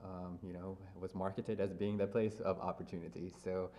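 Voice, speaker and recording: A man in his thirties, an interview guest who grew up in Louisiana, talking through his family background in a relaxed, thoughtful way with many pauses. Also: sits low. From grew up in Louisiana, USA. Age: 30s. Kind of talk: casual interview.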